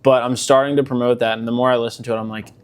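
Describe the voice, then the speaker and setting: A young man in a laid-back interview chat, voice sitting in an ordinary middle pitch. He rambles loosely, leaning on filler words as he thinks aloud. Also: very fast. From Delaware County, Pennsylvania. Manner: relaxed, rambling, many fillers like "like". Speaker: musician guest.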